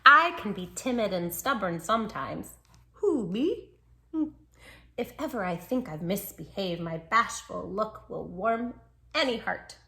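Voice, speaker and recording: A small storybook dachshund read by a woman tells his diary in a bright, highly animated voice. He is proud, affectionate and a bit stubborn, with playful emphasis and drawn-out phrases. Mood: cheeky.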